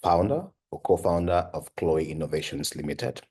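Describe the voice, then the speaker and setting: A man's voice: deep voice, animated, normal speed, a little mellow, husky. A young adult man from Cameroon, a device company founder and PhD researcher, telling his story in an earnest, conversational way with many "you know" and "uh" fillers. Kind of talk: personal talk for an online course.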